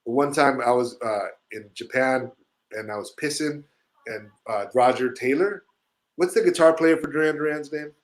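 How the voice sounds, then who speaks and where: A middle-aged man, originally from Chile, spins loose backstage stories in casual chat. His speech is profane and full of asides and restarts, and his voice sits at an ordinary middle pitch. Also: moderate pace. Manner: rambling, swearing, animated storyteller. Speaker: musician telling stories on a show.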